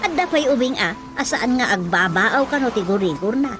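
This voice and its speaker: A woman's voice: a little high, animated, moderate pace, music in the background, close to an everyday voice. A woman playing a middle-aged, superstitious village mother in a radio drama, telling things expressively with a Filipino Ilocano accent. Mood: earnest storytelling. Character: chatty superstitious village mother who believes in folk healing.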